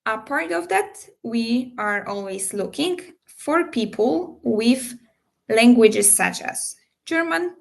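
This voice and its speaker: A woman recruiter hosting a live question-and-answer session, explaining job details in an unhurried way with frequent fillers and slightly non-native phrasing. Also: on the high side, expressive, creaky.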